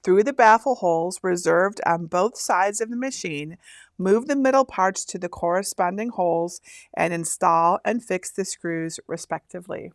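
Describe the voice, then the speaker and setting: A woman's voice: lowish voice, moderate pace, dry recording, studio quality. A chatty woman in her fifties from Michigan, hosting a casual live stream and rambling as she reads instructions aloud. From Michigan, USA. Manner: chatty, rambling, laughs often. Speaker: host.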